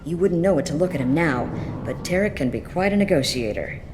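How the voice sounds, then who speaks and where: A jaded female mercenary lieutenant for a video game. The voice is low, frank and businesslike with some bitterness, over clear ambient noise. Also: expressive, a little fast.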